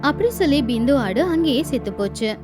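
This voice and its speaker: A woman narrating an animated fable for children as a storyteller. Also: sits high, moderate pace, over music.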